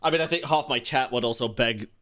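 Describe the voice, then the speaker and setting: A woman's voice: a bass voice, fast talker, fairly bright tone. A woman game streamer giving casual, amused live reaction commentary in an informal way.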